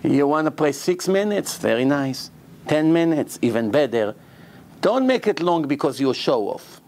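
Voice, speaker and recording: Israeli rabbi guy, pretty high voice, hebrew speaker with choppy english, tells stories and acts out what people say. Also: normal speed. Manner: animated, acts out quotes, non-native English phrasing. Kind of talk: storytelling sermon.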